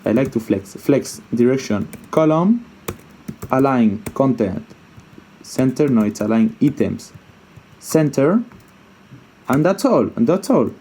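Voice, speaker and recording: Male tutorial presenter, soft mellow tone, informal and hesitant, thinks out loud as he demonstrates. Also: medium pitch, animated, takes it slowly, long pauses, a little hiss or hum, poor audio. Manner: informal, hesitant thinking aloud, repeats himself, mild swearing. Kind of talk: casual unscripted screen-share coding tutorial.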